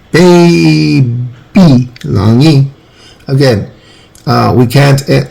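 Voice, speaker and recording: A man's voice: medium pitch, creaky, mellow, breathy edge, poor audio. A man in his forties, a teacher, giving an informal, rambling phonics lesson and often correcting himself. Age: 40s.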